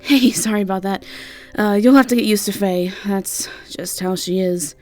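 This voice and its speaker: A woman voicing a brisk, chatty, easily irritated witch innkeeper in an audio drama, speaking casually with hesitations and swearing. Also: medium pitch, soft background music, lightly acted. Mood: chatty, friendly. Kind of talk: audio drama character acting.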